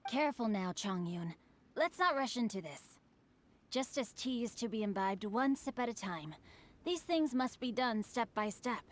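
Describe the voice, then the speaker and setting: A woman's voice: a little high, expressive, normal speed, natural voice. A woman voicing a refined, bookish teenage boy in a video game, speaking in a flowery, articulate and genteel manner. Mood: airy, self-assured.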